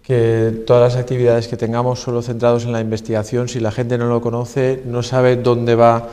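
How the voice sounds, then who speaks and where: Give me an earnest man speaking in an even, flat tone. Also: medium pitch, normal speed. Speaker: university research official guest. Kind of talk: interview answers. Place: local TV studio. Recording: reverberant studio sound.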